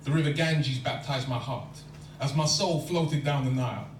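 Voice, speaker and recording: A man's voice: mid-range voice, moderate pace. A man performing spoken word poetry in a lecture hall, with a rhythmic, rhyming delivery. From London. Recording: echoey hall from far off, faint hum.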